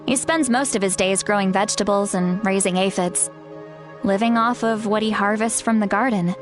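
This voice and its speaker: A woman's voice: medium pitch, normal speed, lightly acted. A woman playing a fondly fussy, self-assured shaman elder who wants to seem youthful, in a video game. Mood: fond, explanatory. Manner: chatty, self-assured, lightly fretful about seeming old-fashioned. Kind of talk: video game character acting. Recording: background music under the voice.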